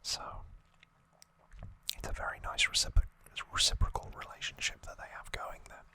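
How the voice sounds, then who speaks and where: Casting: ASMR host, breathy whisper throughout, crisp bright hiss, gentle informal storytelling. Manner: gentle, unhurried, informal recapping.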